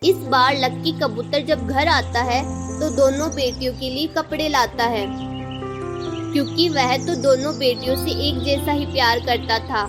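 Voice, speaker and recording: High-voiced woman narrating children's stories. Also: steady tone, a little slow, music in the background. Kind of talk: scripted children's story narration.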